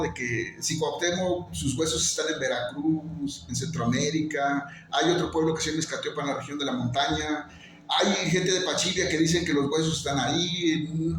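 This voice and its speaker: A middle-aged Mexican man whose first language is Nahuatl, a teacher and songwriter being interviewed, talking with fervor and stacking emphatic lists. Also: on the high side. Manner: passionate, orating, repetitive emphatic lists. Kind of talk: interview, earnest explanation. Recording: very echoey with faint noise.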